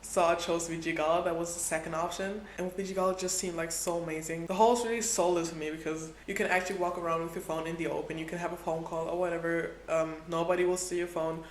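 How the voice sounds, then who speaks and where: Chatty young man, high voice. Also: little pitch movement, fast talker, few pauses, a little hiss or hum. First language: Portuguese is his second language. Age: 20s. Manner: chatty, heavy use of "like". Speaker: travel vlogger. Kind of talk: casual travel vlog. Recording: echoey room with faint ambience.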